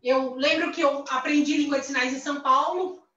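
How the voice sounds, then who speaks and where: A woman's voice: sits high, steady tone, brisk, fairly bright tone. A woman with a Brazilian accent voicing a signed talk, hesitant, repeating words and adding tag questions. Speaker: sign language interpreter. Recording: distant echoey lo-fi livestream audio.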